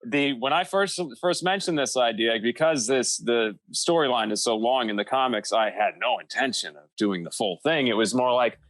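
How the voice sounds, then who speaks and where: A man's voice: mid-range voice, moderate pace, hardly any pauses, a bit bright, no room sound, rough recording. A man speaking as a podcast guest in a casual panel discussion, explaining creative work in a rambling, self-interrupting way.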